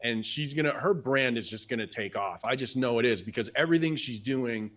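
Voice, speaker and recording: A man with a mid-pitched voice chats eagerly on a live stream. He rambles and restarts his sentences. His voice comes through a video call mic. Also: a little fast, fairly bright tone. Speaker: business coach, co-host. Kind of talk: casual live stream chat.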